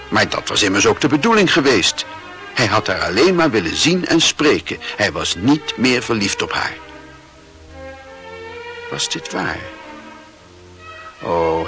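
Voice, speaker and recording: A man's voice: lowish voice, bright, forceful tone. A man reading scripted literary narration as the narrator of a radio play.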